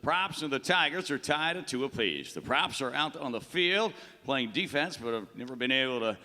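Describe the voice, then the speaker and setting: A man's voice: medium pitch, animated, moderate pace, bright, forceful tone. A man playing a chipper, folksy veteran baseball play-by-play announcer in a live radio play. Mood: upbeat, folksy. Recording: echoey town hall stage reverb.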